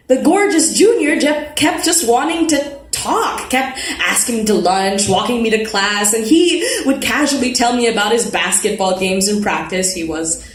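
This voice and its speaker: A woman giving a dramatic live reading of a first-person novel, conversational and expressive, like a playful teenage narrator. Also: medium pitch. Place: live literary event at an arts festival. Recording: roomy live venue, background noise.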